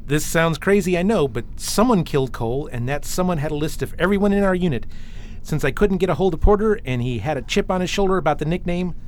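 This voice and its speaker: A woman's voice: sits low, animated, fast, a little mellow, a little hiss or hum. Woman playing a wry, guarded veteran detective. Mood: tense, protective. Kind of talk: audio drama acting.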